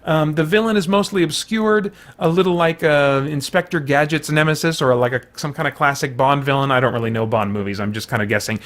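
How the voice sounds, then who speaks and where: A man's voice: a little high, steady tone, fairly quick, few pauses, a bit bright. An American man giving a casual, unscripted review as a host, conversational and thoughtful, often breaking off to rephrase. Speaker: film reviewer and show host.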